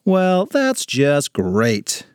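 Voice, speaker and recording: A man's voice: fairly high pitch, wildly swooping pitch, normal speed, a little mellow, no echo, studio quality. A man voicing a headstrong, sarcastic fifth-grade girl with a dramatic manner in a children's story. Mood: exasperated. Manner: exasperated, dramatic delivery.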